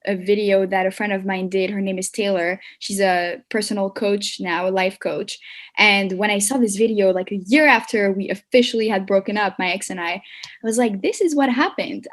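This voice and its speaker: A woman in her twenties from France, a podcast guest talking in a relaxed, chatty way with plenty of fillers and restarts. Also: medium pitch. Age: 20s. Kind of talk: casual conversational interview.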